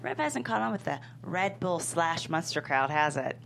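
Tough, persuasive businesswoman played by an actress, gravelly low register, wry, slight background hum. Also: lively intonation, normal speed, a bit bright, full character voice. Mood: assertive, sly. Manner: wry, assertive. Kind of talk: script table read.

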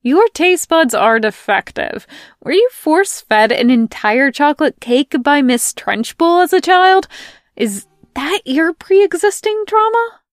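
Woman reader playing a loud, bratty young woman, high and bright, storybook podfic. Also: expressive, slow pace, dry recording, clean studio sound. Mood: teasing. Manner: loud, high-pitched teasing. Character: brash teasing young woman, loud and playful. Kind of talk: podfic character voice.

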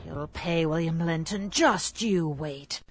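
An actress playing a theatrical, hot-tempered, vengeful mistress in an audio drama, melodramatic and sarcastic. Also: female voice, low-pitched, takes it slowly. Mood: furious, vengeful.

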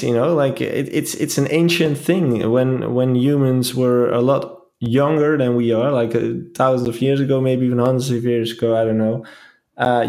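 A man's voice: medium pitch, a little mellow. A man talking earnestly in a casual podcast chat, often restarting and repeating phrases. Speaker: podcast co-host.

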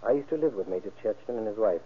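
A middle-aged businessman under questioning in a vintage radio drama. His voice is lowish and husky, polite and careful, with hesitant explanations. The sound is phone-thin and aged. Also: lively intonation, rapid-fire. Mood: reluctant, explanatory. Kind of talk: old-time radio crime drama acting. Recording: thin, muffled old radio recording.

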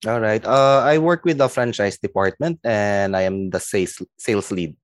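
A man's voice: fairly low pitch, normal speed, dry recording, studio quality. A middle-aged man with a Filipino accent, chatting casually as an interview guest. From Philippines. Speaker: sales lead, interview guest.

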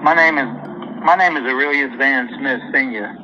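A man's voice: medium pitch, moderate pace, pushed and bright, faint music behind. Upbeat man in his fifties, muffled recording. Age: 50s. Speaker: voter interviewee. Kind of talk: recorded testimonial.

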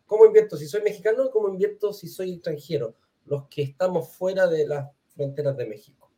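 A man's voice: high-pitched, brisk, warm tone. A man hosting a live question-and-answer session over a video call. He reads out the questions and stumbles over his words now and then. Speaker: live-stream host.

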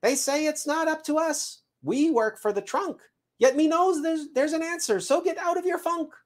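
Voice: sits high, moderate pace, voice pushed up, natural voice. A performer with an unclear, mid-range voice voicing a proud, chirpy squirrel messenger in rhyming storybook verse. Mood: brisk. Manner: chirpy, theatrical rhyming speech.

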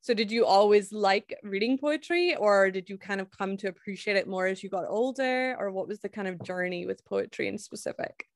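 A woman's voice: mid-range voice, a little fast, few pauses, no room sound, studio quality. Encouraging woman interviewer. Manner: encouraging, affirming.

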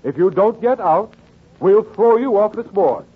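A man's voice: high-pitched, expressive, unhurried, breathy edge, exaggerated voice. A man playing an indignant, intolerant civic board member, blunt and scolding, in 1940s radio drama style. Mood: indignant, threatening. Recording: tinny, narrow 1940s radio recording.

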